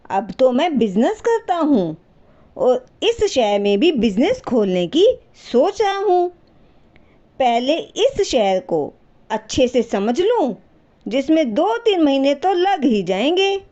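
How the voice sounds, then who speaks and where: A woman's voice: sits high, big pitch swings, slow, dark tone, dull audio, pitched above the performer's own voice, exaggerated voice. A woman voicing a brazen middle-aged uncle in a family story, presumptuous and unbothered. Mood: smug.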